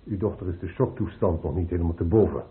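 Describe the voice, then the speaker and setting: A male actor playing a patient but firm hospital doctor in a radio drama, speaking formally and with measured authority. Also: deep voice, close to an everyday voice. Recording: tinny, band-limited old radio recording.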